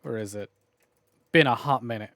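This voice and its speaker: A man's voice: mid-range voice, normal speed, fairly bright tone, no echo, clean studio sound. A young man streaming a game, chatting casually with his chat, joking and swearing freely.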